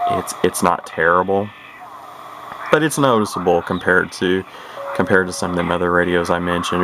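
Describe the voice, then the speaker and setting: A man giving a rambling, casual showcase as a radio collector, halting and repeating his words as he goes. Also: sits low. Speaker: radio collector presenting his own equipment. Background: radio speaker playing static.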